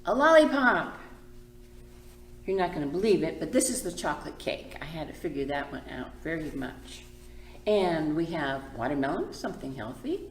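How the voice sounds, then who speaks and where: A woman's voice: sits low, very animated, normal speed. A woman hosting a children's storytime, speaking expressively in simple phrases and drawing words out for young listeners. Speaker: children's librarian and storytime host. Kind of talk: children's storytime reading and teaching. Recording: echoey room.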